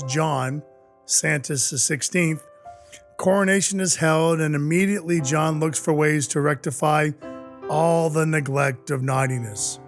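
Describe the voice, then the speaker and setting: Audio play role: man as Santa's sardonic dwarf enforcer, officious and deadpan, slightly high voice, music underneath. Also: natural voice. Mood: sardonic storytelling. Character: gruff, sarcastic male dwarf, Santa's naughty-list enforcer. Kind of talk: audio play narration and dialogue.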